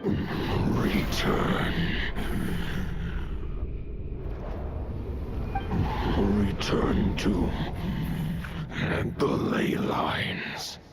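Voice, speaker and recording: A ancient wolf god, deep and gravelly, speaking extremely slowly. The growl is breathy, noble and weary, with prominent music behind it. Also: male voice. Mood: commanding. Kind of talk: dramatic video game voice acting.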